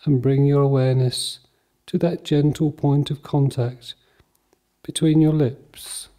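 A man leading a guided mindfulness meditation in a calm, measured, soothing manner, leaving long pauses between short phrases. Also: mid-range voice.

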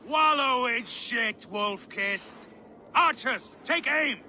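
A defiant young Viking villain in a video game. He shouts taunts slowly in a very high, tense voice, with faint noise behind. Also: heavily acted. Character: defiant, sneering young Viking enemy heir.

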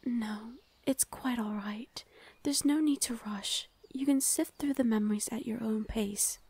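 Protective forest fae female performer, ASMR roleplay: highish, very breathy, soothing, often whispered. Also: unhurried, dark tone. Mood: tender, reassuring.

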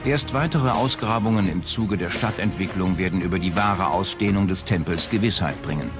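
A man's voice: low voice, a little fast. A man reading scripted narration as a documentary narrator. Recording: loud music behind the voice. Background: music bed.

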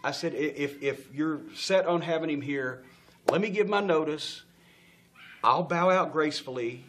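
A middle-aged man sits on a lit studio set and tells a backstage story with gusto. His voice sits slightly high for a man, and he keeps slipping into the voices of the people he quotes, swearing freely and breaking into laughter. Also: normal speed, background noise. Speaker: interview guest. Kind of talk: storytelling shoot interview. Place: indoor studio set with stage lights.

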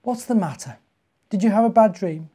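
Man reading as a gentle, reassuring British mum. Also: high voice, expressive, normal speed, breathy edge, pitched above the performer's own voice. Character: gentle, reassuring mother in a storybook.

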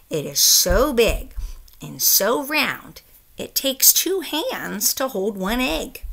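A woman's voice: medium pitch, vocal fry, pushed and bright. A middle-aged woman reading a children's picture book aloud, with careful, emphatic phrasing for young listeners. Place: small room at home, indoors.

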